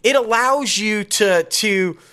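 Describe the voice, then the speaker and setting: Bright, upbeat male interview host. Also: high-pitched, slow pace, clean tone. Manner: encouraging, upbeat, affirming. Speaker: podcast host. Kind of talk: interview hosting.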